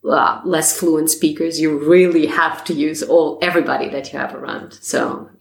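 Deep, gravelly woman. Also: fairly even intonation, normal speed. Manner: earnest, explanatory, some restarts. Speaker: guest linguist. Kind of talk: podcast discussion. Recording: roomy echo.

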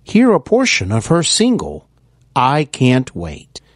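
A man hosts an interview podcast in a slow, deliberate promo read. His voice is a little husky and moves lively up and down in pitch. It sounds dry and close, with faint music underneath. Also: dry recording. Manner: slow, deliberate, measured delivery. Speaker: podcast host.

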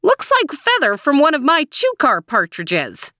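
Elderly female neighbor, shrill and screechy, suspicious, curt, very animated, storybook character. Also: unhurried, no echo, studio quality, exaggerated voice. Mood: wary. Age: older. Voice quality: high, screechy, strong. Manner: curt, accusing questions. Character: screechy, suspicious, hard-of-hearing old woman neighbor.

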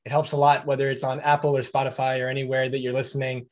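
A man's voice: medium pitch, little pitch movement, quick pace. A man hosting a podcast interview. He is encouraging toward his guest and closes with upbeat calls to review and subscribe. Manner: encouraging, wraps up with upbeat promo talk. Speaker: podcast host.

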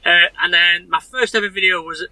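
A Yorkshire man in his thirties chats as a guest, circling his point with false starts and self-deprecating asides. His voice sits high and is strikingly bright, recorded dry and close. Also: brisk, no room sound, poor audio. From Sheffield, England. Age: 30s. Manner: rambling, self-deprecating, restarts sentences. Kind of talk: casual chat.

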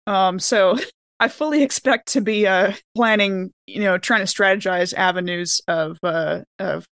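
A woman with a medium-pitched voice talks in a casual round-table style. She sounds enthusiastic, and the recording is dry with very little room. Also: measured pace, fairly bright tone, dry recording. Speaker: podcast cast member.

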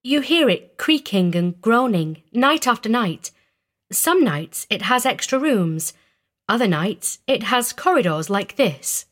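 High-pitched mysterious young girl played by a woman. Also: lively intonation, slow, heavily acted. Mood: urgent, secretive. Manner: hushed, matter-of-fact. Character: quiet, uneasy mysterious young girl in grey shawl. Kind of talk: audio story character acting.

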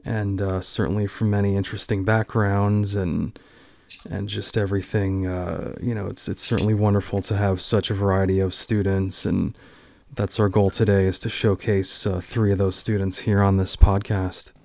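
A man's voice: sits low, level tone, moderate pace, gravelly, darkish tone, no room sound. A man hosting a university podcast roundtable, introducing guests and asking questions with plenty of filler words. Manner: friendly, filler-heavy interviewer. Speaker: podcast host.

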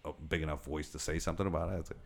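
Very deep-voiced man in casual chat. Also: very fast, vocal fry. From Detroit. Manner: animated storyteller, laughs while telling jokes. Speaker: guest comedian.

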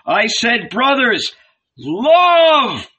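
Desperate storybook preacher played by a man, raised forceful shout, emphatic and imploring. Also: extremely high pitch, big pitch swings, extremely slow, distant mic, highs cut off, voice pushed up, exaggerated voice. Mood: despairing protest. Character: preacher pleading in despair with a mob.

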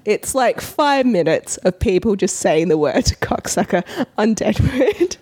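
A woman's voice: mid-range voice, expressive, a little slow, darkish tone, breathy edge. A woman co-hosting a casual podcast, speaking with emphatic enthusiasm and articulate, descriptive phrasing. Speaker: podcast co-host.

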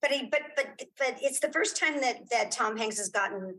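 A middle-aged or older woman chatting casually as an interview guest, telling stories with animated, self-mocking asides. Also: a little high, moderate pace, slightly rough, rough recording. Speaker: interview guest, audio drama creator and former actress.

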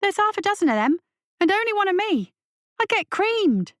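A woman's voice: extremely high pitch, very animated, normal speed, no echo, studio quality, pitched above the performer's own voice. A woman voicing an angry, skinny orphan street boy in an audio story, snappy and slangy in manner. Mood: skeptical.

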